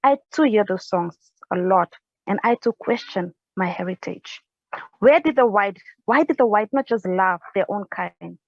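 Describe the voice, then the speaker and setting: A woman's voice: mid-range voice, lively intonation, no echo. Young woman doing spoken word on her couch, earnest, stumbles a bit, dull lo-fi earphone mic. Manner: earnest, halting, with restarts and small laughs. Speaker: poet. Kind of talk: spoken word poetry recital. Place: indoors on a couch at home. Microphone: earphone headset mic. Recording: dry, dull lo-fi sound.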